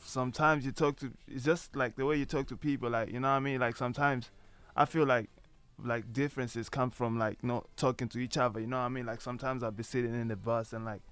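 A man's voice: mid-range voice, fairly even intonation, quick pace, a bit bright, clean tone, soft background music. Laid-back young man from Malawi. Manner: laid-back, lots of "like" and "you know what I mean". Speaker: guest, musician. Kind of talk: casual interview answers. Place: radio studio.

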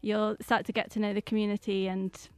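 Female interview guest, Yorkshire accent, smooth clear voice, conversational answers, dry close sound. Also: mid-range voice, little pitch movement, brisk, no room sound. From Yorkshire, England. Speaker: interview guest, dance organisation worker.

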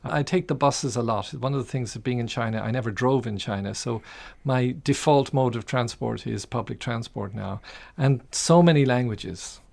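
An Irish-accented man, middle-aged or older, reflecting and telling stories as an interview guest, with halting restarts. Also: mid-range voice, creaky, darkish tone. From Ireland. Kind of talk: reflective conversational storytelling.

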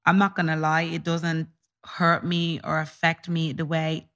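A woman's voice: low voice, monotone, unhurried, a bit bright. A woman from the Caribbean with a West Cork accent, a guest talking earnestly and searching for words. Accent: West Cork Irish. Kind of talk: casual chat.

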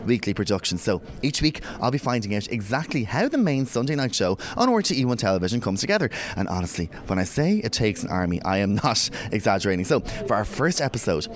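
A man's voice: on the low side, sing-song, quick pace, few pauses. A man hosting a podcast, chatty and emphatic, introducing segments and interviewing dancers. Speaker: podcast host. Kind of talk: presenting and backstage interviews. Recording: busy crowd noise behind.

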